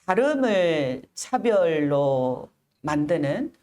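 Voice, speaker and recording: A middle-aged woman telling her own story in an interview, earnest and measured, pausing often and enunciating with care. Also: sits low, big pitch swings, a little mellow. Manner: earnest, measured, with frequent pauses and careful enunciation. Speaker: interview guest, activist. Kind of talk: reflective personal storytelling in an interview.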